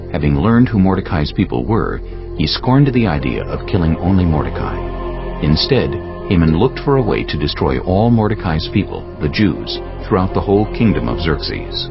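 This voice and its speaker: A man narrating a dramatized Bible reading in a formal, deliberate storytelling manner. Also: deep voice, gravelly. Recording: muffled, dull audio under music. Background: prominent background music.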